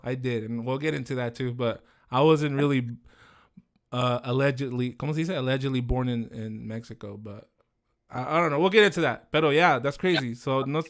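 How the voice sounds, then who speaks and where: Bay guy hosting his podcast, rambly and jokey, switches spanish all the time. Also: medium pitch. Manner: joking, rambling, mixes Spanish and English. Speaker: podcast host. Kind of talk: casual podcast interview.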